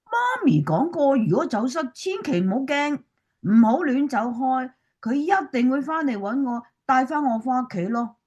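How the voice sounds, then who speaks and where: Radio drama role: woman playing inquisitive young pupil, Cantonese, high and chirpy. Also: big pitch swings, normal speed, darkish tone, breathy edge, muffled recording, exaggerated voice. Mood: earnest, curious. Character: eager curious schoolchild visiting grandparents.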